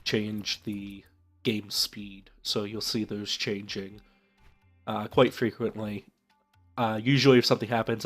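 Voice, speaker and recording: A young man with a stuffed-up, nasal voice from a cold, casually commenting on a game while thinking aloud with many fillers. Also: fairly low pitch. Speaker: let's play host.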